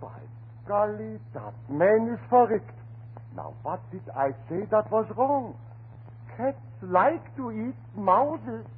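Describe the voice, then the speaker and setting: Crackly 30s radio, a confused guy, slow but super swoopy, broken english, comic. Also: high-pitched, animated, husky, full character voice. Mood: bewildered. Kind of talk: 1930s radio acting. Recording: scratchy, narrow-band 1930s radio recording.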